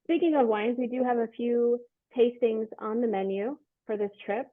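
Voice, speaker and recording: Female host, mid-pitched, conversational promotional interviewing, narrow tinny call sound with no room echo. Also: steady tone, normal speed. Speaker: interviewer and tour company host. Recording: tinny phone-like call audio, dry.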